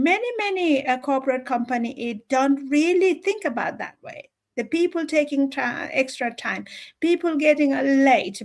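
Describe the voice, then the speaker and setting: Woman, Nepali accent, slightly high voice, earnest, emphatic, halting, repeats phrases, informal motivational livestream talk. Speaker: livestream speaker.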